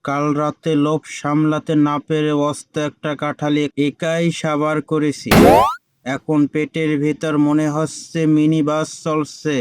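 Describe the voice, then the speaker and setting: A man's voice: on the high side, completely flat delivery, a little slow, a little hiss or hum, close to an everyday voice. A man voicing a dramatic, grumbling old village grandfather with a bellyache in a comic cartoon. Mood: groaning, suffering. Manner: melodramatic, moaning.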